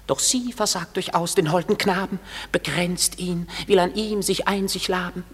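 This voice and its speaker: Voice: mid-range voice, fairly even intonation, moderate pace, slightly rough, long reverb tail, lightly acted. A performer playing a mischievous sprite of the fairy king in a classic radio play, declaiming verse.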